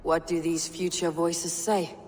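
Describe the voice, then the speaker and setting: An actor playing a composed, courteous warrior god in a video game, with measured, formal speech. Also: mid-range voice, flat delivery, soft background music, lightly acted. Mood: calm, curious. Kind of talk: scripted video game dialogue. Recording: clear background noise and ambience.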